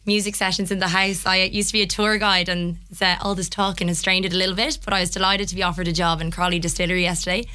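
A woman in her twenties with a Dublin accent, slightly hoarse, talking as a lively radio guest. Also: mid-range voice, quick pace, hardly any pauses. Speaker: festival contestant guest.

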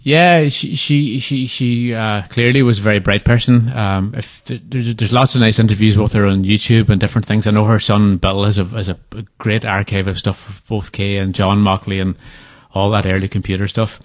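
A man talks in a fairly low voice, stopping and restarting often as he recalls details. The sound is close and dry, with little room around it. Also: fairly even intonation, normal speed, dry recording. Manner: hesitant, many restarts and fillers. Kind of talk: casual interview answers.

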